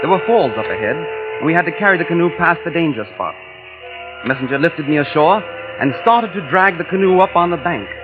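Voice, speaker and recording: An actor in an old radio drama narrating as a weary, feverish explorer, with a measured, reserved and politely clipped delivery. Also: male voice, fairly high pitch, lightly acted. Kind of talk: 1940s radio acting. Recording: muffled old radio broadcast with music.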